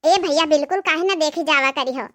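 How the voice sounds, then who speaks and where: A woman's voice: sits high, fairly quick, fairly bright tone. A woman voicing a devoted, tender young sister in a homemade cartoon family drama, with an Awadhi accent. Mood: concerned. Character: devoted young sister raising her nephew, tender and self-sacrificing. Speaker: storyteller of a cartoon channel.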